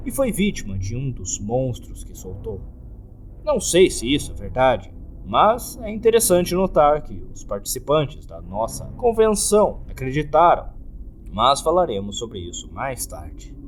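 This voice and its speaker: A Brazilian man voicing a gloomy, courteous hotel keeper in a formal, measured, scripted horror narration. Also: mid-range voice, wildly swooping pitch, a little hiss or hum. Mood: ominous, formal. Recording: music under the voice.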